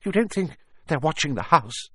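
A man's voice: medium pitch, big pitch swings, unhurried, a bit bright, no echo, studio quality, pitched above the performer's own voice. A man voicing an anxious, easily horrified middle-aged aunt with a quavering voice in a storybook reading. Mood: fearful.

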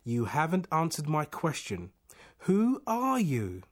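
A man reading a storybook as a bewildered, desperate man, strained, insistent and halting. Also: fairly high pitch, huge pitch swings, voice pushed up, heavily acted. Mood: demanding.

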